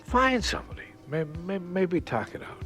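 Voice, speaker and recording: Male, blunt but concerned manager, stumbling delivery, lively higher pitch, 1980s radio play. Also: soft background music, exaggerated voice. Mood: awkward, concerned. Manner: halting, stammering. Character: awkward, well-meaning workplace boss, concerned and blunt. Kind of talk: 1980s radio drama acting.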